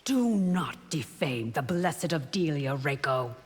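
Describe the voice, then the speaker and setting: An actress voicing a fierce, devout and loyal warrior bodyguard in a fighting game, speaking formally, proud and defiant. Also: female voice, deep voice, lively intonation, slow, over loud music. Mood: stern, reproachful. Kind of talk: video game fight intro dialogue. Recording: reverberant hall, noisy game backdrop.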